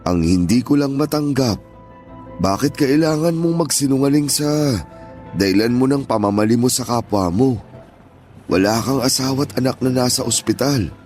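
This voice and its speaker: A man's voice: medium pitch, normal speed, faint music behind, lightly acted. A man voicing an aging, earnest father. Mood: searching. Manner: pleading, earnest, scolding turning gentle. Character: aging father, stern yet tender, grieving. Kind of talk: Tagalog radio drama acting.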